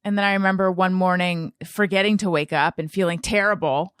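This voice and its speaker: A middle-aged woman hosting a casual podcast interview, chatty and self-deprecating, often thinking out loud as she goes. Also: lowish voice, steady tone, a little fast, clear, resonant tone, no room sound, clean studio sound.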